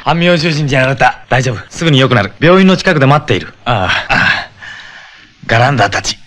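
A man's voice: mid-range voice, animated, quick pace, background noise, lightly acted. A young man acting as a fierce, earnest jungle-raised superhero in a 1970s Japanese television action drama, intense and terse. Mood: determined. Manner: intense, terse, breaking into battle cries. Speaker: lead actor in a superhero television series. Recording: 1970s television soundtrack with music. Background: dramatic background music.

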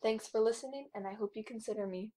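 A woman's voice: fairly high pitch, quick pace, mellow. A woman speaking as an amateur voice actor, presenting her own audition. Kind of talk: spoken introduction to an audition.

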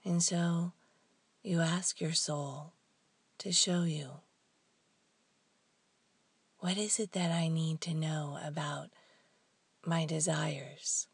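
A woman leading a guided meditation in a soft, hushed, breathy voice, leaving long pauses between short phrases. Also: deep voice, steady tone, dry recording.

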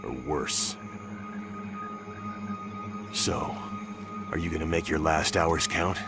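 An actor playing a grim, tough soldier in a video game, terse, blunt and weary. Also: male voice, very deep voice, fairly even intonation, slow pace. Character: grim, tough young male American soldier. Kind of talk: video game cutscene acting. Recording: music behind the voice.

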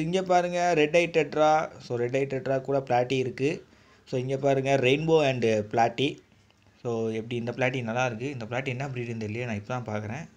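A man giving casual, enthusiastic vlog commentary as a channel host, often addressing his viewers directly while filming by hand. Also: medium pitch. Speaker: vlogger, aquarium channel host.

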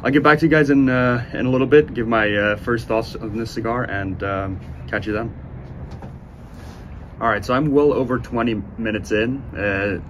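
A man in his twenties giving a casual, unhurried review to camera, speaking with enthusiastic praise. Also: mid-range voice, fairly even intonation, fairly bright tone, highs cut off. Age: 20s. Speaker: cigar reviewer, host. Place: outdoors on a sunny porch by windows. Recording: outdoor ambient noise.

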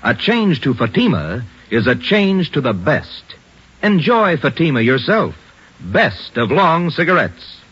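A man's voice: fairly high pitch, big pitch swings, unhurried, fairly bright tone, a little hiss or hum. A man reading an old radio cigarette commercial in a punchy, emphatic announcer style, on a dull old radio recording. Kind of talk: 1950s radio commercial read.

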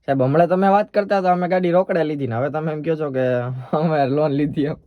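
A man's voice: on the high side, fairly even intonation, quick pace, dry recording, phone-quality sound. A man with a Saurashtra Gujarati accent making a prank call as a polite, persistent bank employee. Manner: polite, persistent, official-sounding. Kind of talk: prank phone call in casual dialect.

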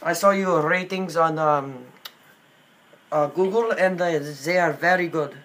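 A man's voice: fairly high pitch, steady tone, normal speed, fairly bright tone, close to an everyday voice. A young man playing a polite, earnest, apologetic Indian caller who hesitates with many ums. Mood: polite, hesitant. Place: small bedroom. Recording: noisy amateur phone-video recording.

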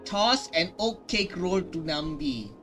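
Slow, lively male gaming streamer. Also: sits high. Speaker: gaming streamer reacting to a game. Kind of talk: casual playthrough commentary. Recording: game music behind the voice.

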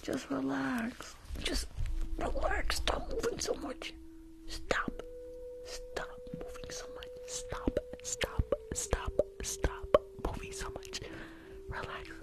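A woman's voice: extremely high pitch, very animated, takes it slowly, vocal fry, voice pushed up, full character voice. A woman voicing a rude, impatient, gum-chewing New York school nurse in an ASMR roleplay, repeating herself in a brusque, mock-soothing way close to the microphone. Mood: irritated. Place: small bedroom. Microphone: close furry-covered condenser microphone.

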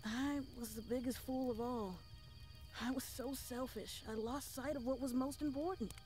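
A man voicing an earnest young child in an animated fairy tale. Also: very high voice, normal speed, low-quality recording. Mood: remorseful. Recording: cartoon playback with music behind.

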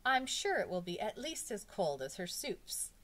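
A woman voicing a brisk, exasperated woman schoolteacher in a children's storybook, firm and matter-of-fact. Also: medium pitch, sing-song, a bit bright, voice pushed up. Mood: practical.

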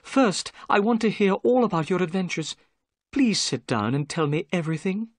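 A man voicing a gentle, kind young woman in a read-aloud story. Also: sits high, lively intonation, normal speed, voice pushed up.

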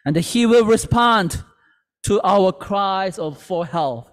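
Slow earnest pastor in a reverberant hall. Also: male voice, sits high, animated. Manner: slow, earnest, with halting restarts. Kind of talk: sermon. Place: church sanctuary.

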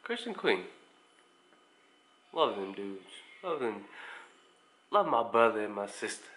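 A man's voice: fairly low pitch, very animated, takes it slowly, fairly bright tone. A man of about twenty with an American accent, chatting casually to camera as he answers viewer questions in a laid-back, informal way. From United States, mostly Indiana. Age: around 20. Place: small living room at home, indoors.

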